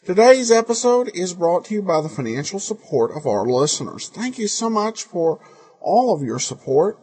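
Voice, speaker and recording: A man's voice: sits high, very animated, normal speed, darkish tone, breathy edge. A man with an American accent, hosting a podcast with an informal introduction. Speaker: podcast host.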